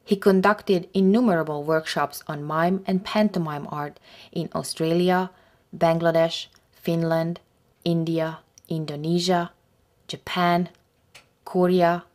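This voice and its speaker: A woman reading scripted documentary narration in a measured, even way. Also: lowish voice.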